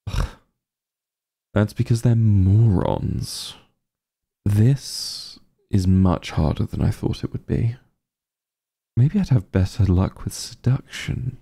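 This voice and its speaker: An evil sorcerer king, acted by a man for an ASMR roleplay, vain and openly disdainful. His voice is dark and mellow, heavily creaky, and he drawls slowly with pompous, sardonic exasperation. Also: lowish voice, heavily acted. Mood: vain, indignant. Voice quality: gravelly, breathy.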